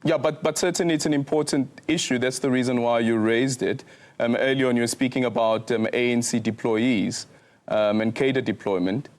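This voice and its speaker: A man's voice: medium pitch, steady tone, normal speed, a little mellow. Guy asking interview questions, hesitant, lots of ums. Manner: hesitant, many ums and restarts. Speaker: radio interviewer.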